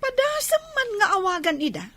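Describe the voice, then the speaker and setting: A woman's voice: very high voice, wildly swooping pitch, fairly quick, pushed and bright, full character voice. A woman playing a rebellious, sullen young village daughter with an Ilocano accent, defiant and whiny, in radio drama style. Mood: impatient. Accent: Filipino, Ilocano. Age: young adult. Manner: defiant, whiny, talking back.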